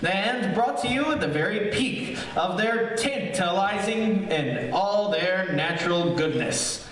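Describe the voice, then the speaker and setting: A man playing an old-time radio announcer, reading scripted introductions and sponsor pitches. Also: expressive, slow pace, fairly bright tone, heavily acted. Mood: earnest, selling. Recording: echoey distant recording, music behind.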